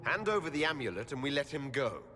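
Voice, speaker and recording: A man's voice: mid-range voice, very animated, fast, natural voice. A man voicing a stern, earnest hero in a fantasy game, speaking firmly and directly. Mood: demanding. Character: stern, earnest male hero leading the party. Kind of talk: dramatic fantasy game acting. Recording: hall reverb, music behind.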